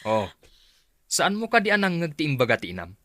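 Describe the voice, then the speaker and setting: A man's voice: fairly high pitch, huge pitch swings, moderate pace, fairly bright tone, no echo, studio quality. An actor playing a domineering, bullying stepfather figure who barks commands in a radio drama. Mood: scolding. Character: bullying, bossy live-in boyfriend of mother.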